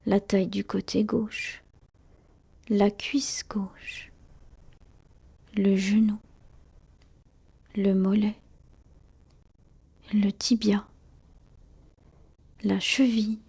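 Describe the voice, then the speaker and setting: Female meditation guide: soft, breathy near-whisper, dark and relaxed tone, soothing short phrases with long pauses. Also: medium pitch. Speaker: yoga nidra guide.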